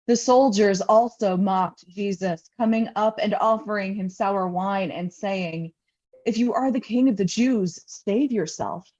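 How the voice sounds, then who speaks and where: A woman's voice: mid-range voice, a little slow, darkish tone. Solemn woman reading scripture, slightly muffled recording. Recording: slightly dull, lo-fi sound.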